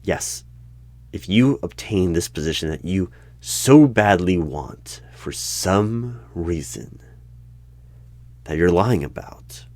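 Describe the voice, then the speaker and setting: A man in an ASMR roleplay as the stern hiring manager of a secret bureau, with deadpan questioning that breaks into sudden loud bursts and whispers. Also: low voice, slow pace, no echo. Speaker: interviewer in a roleplay.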